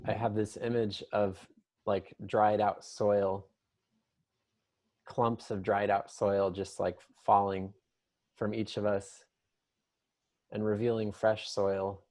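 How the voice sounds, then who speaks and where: A man leading an improvised, conversational guided meditation in a gentle, unhurried manner with long pauses. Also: fairly low pitch, flat delivery.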